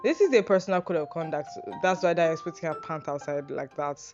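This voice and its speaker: A woman's voice: sits low, brisk. A young Nigerian woman with a Nigerian accent, rambling through an advice list in a self-deprecating, casual monologue full of fillers and swearing. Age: young adult. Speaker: podcast host. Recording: dull lo-fi sound with music behind.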